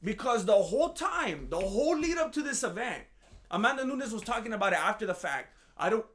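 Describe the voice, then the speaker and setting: A man's voice: high-pitched, very animated, a little fast, fairly bright tone. A man rambling through a casual, joking commentary monologue, sarcastic and self-mocking, with frequent restarts. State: groggy after a nap. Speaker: MMA commentary vlogger.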